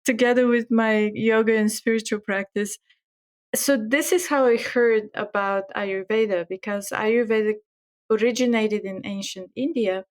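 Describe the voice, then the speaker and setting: A woman's voice: fairly high pitch, steady tone, normal speed. A middle-aged woman from Bulgaria with a Bulgarian accent, a guest telling her story earnestly in an interview and laughing often. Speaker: guest chef and author.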